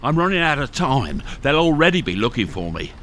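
An actor playing a gruff, impatient, secretive criminal who is wounded and in pain, in a radio crime drama. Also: male voice, mid-range voice, big pitch swings, brisk, a bit bright, slight background noise. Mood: urgent, irritable.

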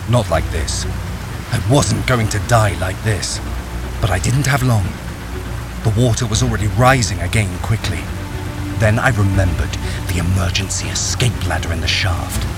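A man's voice: fairly low pitch, moderate pace, close to an everyday voice. An actor playing a desperate mine worker who narrates his escape in a thriller audio drama, intense and dramatic. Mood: grave, suspenseful. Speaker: narrator and lead character. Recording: loud music and effects behind.

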